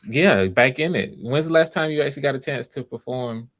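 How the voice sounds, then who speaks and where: A man's voice: medium pitch, a little fast, a bit bright. A man hosting voice auditions on a livestream, talking casually in an informal, encouraging way and often repeating phrases. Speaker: host running voice auditions.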